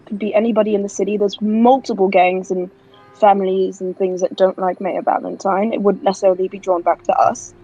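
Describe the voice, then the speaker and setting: A woman's voice: mid-range voice, flat delivery, a little fast, warm tone. A woman talking casually as a player in an improvised tabletop roleplay. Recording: dull lo-fi microphone sound.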